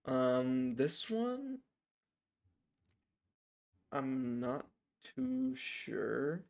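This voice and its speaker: A man hosting a casual, unscripted stock watchlist, raspy from strep throat, informal and hesitant as he thinks aloud. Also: mid-range voice, sing-song, very slow. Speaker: stock trading channel host.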